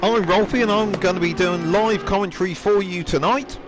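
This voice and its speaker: A man commentating a live sim race, slightly hoarse, chatty with frequent ums and throat clearing. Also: high voice, moderate pace, over music. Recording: noisy background.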